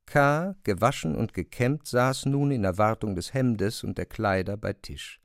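Guy narrating a literary audio drama, deep-ish n creaky, measured but expressive, bone dry studio. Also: no room sound.

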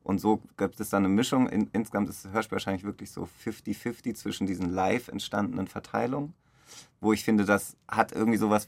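Low, creaky-voiced man in conversation. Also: dry recording. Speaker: radio play director. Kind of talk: interview conversation.

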